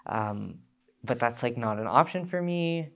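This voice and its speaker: A young man from Ontario telling a personal story, halting with many pauses and restarts. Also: medium pitch, expressive, a bit bright, dull audio. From Ontario, Canada. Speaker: speaker sharing his story.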